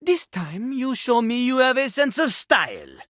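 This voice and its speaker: A woman's voice: on the high side, very animated, unhurried, fairly bright tone, dry recording, studio quality. A woman voicing a vain, scornful French-accented toilet who fancies himself a rap master, in a theatrical video game performance with a pompous, dismissive manner. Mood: grudging, challenging. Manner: pompous, speaks of himself in third person, dismissive.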